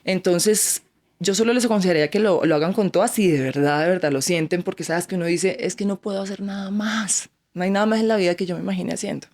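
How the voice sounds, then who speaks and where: A Colombian woman who talks at a brisk clip, her words tumbling out quickly. She chats casually as an interview guest, full of animation, and slips into quoted voices as she tells her stories. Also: on the low side, darkish tone. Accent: Colombian Spanish. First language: Spanish. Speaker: actress, guest.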